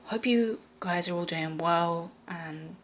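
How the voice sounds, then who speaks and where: A young woman giving her opinions in a casual vlog, rambling with fillers and restarts, her voice slightly croaky. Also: on the low side, slow, muffled recording, a little hiss or hum. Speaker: vlogger.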